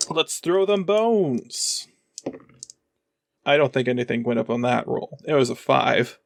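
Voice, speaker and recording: A man's voice: mid-range voice, animated, measured pace, creaky, no echo, clean studio sound. A man talking casually as a player in a tabletop role-playing game, reporting his rolls to the group. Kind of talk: casual chat while rolling dice.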